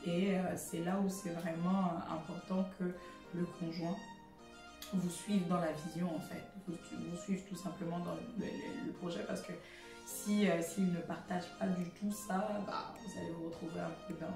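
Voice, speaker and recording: A woman's voice: on the low side, little pitch movement, moderate pace, distant mic, over music. Hesitant woman in her thirties, echoey. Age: 30s. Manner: hesitant, many fillers, giggly at first. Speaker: interview guest. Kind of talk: casual interview chat.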